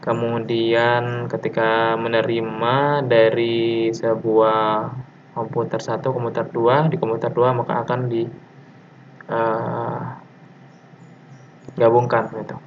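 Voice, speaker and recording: A man gives an informal lecture presentation over slides, explaining technical material and often pausing mid-sentence. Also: mid-range voice, completely flat delivery. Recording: dull lo-fi audio with faint noise.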